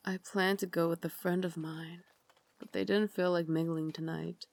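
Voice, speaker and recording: Woman playing a soft-spoken, low Victorian vampire lady. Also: steady tone, moderate pace, no echo. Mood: gracious, inviting. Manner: refined, formal, soft-spoken, doting. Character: elegant Victorian vampire lady, caring and gently commanding. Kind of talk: ASMR roleplay, slow intimate scripted acting.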